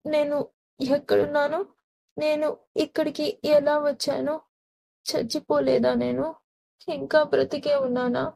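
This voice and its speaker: A woman's voice: high voice, level tone, measured pace, creaky, pauses often, a little mellow. A woman voicing a meek, wounded young daughter-in-law in a cartoon moral story, plaintive and pleading, with music behind. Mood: weak, dazed.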